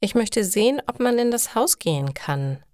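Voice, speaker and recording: A woman voicing a curious, daring schoolboy in a slow storybook reading for language learners. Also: fairly high pitch, lively intonation, darkish tone, no room sound, studio quality, pitched above the performer's own voice, close to an everyday voice. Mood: eager. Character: curious, daring schoolboy Daniel, eager and cheerful. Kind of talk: acted dialogue in a learner's storybook.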